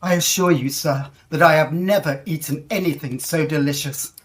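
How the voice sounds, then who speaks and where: A woman playing a confident, educated gentleman traveller, telling the tale formally and deliberately in a period audio drama. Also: deep voice, close to an everyday voice. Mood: courteous. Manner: formal, articulate, deliberate storytelling.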